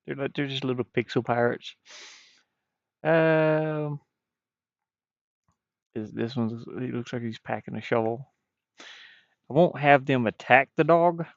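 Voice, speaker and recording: A man chatting casually on a livestream as a game developer, speaking in a halting way and often trailing off. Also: no room sound. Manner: hesitant, halting, frequently trailing off. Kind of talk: casual stream chat. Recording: muffled, dull low-fidelity stream audio.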